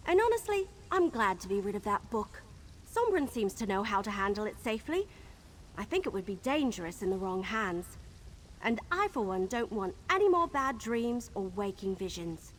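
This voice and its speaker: A woman playing an earnest, spirited young adventurer in a video game, speaking in an expressive, informal way. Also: medium pitch, moderate pace, slight background noise, lightly acted, low-quality recording. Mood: relieved, frank. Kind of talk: video game character dialogue.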